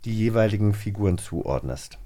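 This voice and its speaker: A man's voice: on the low side, expressive, moderate pace, mellow. A man hosting in a casual, conversational chat style. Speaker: host.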